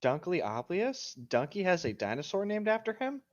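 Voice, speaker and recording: A man's voice: high-pitched, sing-song, moderate pace, muffled recording. A man making casual, mock-naive joking remarks with dry humor.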